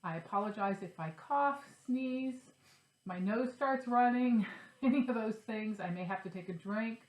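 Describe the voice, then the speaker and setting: A woman with a stuffy, nasal voice from a cold, chatting casually to her viewers about her craft projects. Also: medium pitch. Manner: chatty, upbeat, shows her stitching. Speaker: cross-stitch vlogger. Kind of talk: casual chat to camera. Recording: echoey room.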